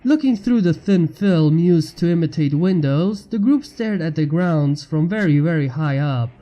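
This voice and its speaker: A man narrates a fan fiction chapter in a scripted reading style. His voice sits high for a man and is very mellow and dark-toned, with music playing underneath. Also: lively intonation, normal speed, dull audio. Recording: music bed behind the narration.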